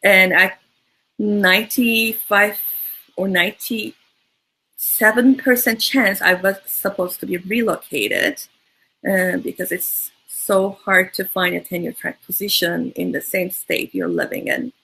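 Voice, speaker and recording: A woman's voice: mid-range voice, slow, gravelly, sharp tone, husky, low-quality recording. A woman from Turkey with a Turkish accent, speaking thoughtfully as an interview guest, often restarting her sentences. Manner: thoughtful, with frequent restarts and fillers. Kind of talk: casual interview talk.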